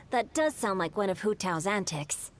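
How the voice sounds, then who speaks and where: An actor voicing a courteous, bookish, well-bred teenage boy in a video game, refined and polite. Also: medium pitch. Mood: amused.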